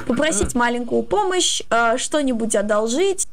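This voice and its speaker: A woman in her twenties giving advice in casual, halting, explanatory talk. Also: fairly high pitch, animated, a little hiss or hum. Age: 20s.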